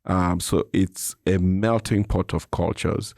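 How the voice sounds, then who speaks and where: A middle-aged man with a Cameroonian English accent, speaking as an interview guest in a measured, reflective, explanatory way. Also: deep voice, fairly even intonation, vocal fry, a little mellow, dry recording, studio quality. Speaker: interview guest, nonprofit president and CEO. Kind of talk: reflective conversational interview answers.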